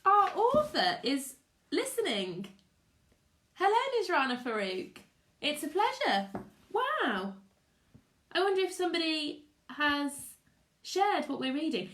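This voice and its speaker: A woman, a teacher, giving an expressive live read-aloud of a children's story and chatting to her listeners. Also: on the high side. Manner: expressive storytelling with character voices. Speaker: teacher reading to pupils online. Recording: echoey room.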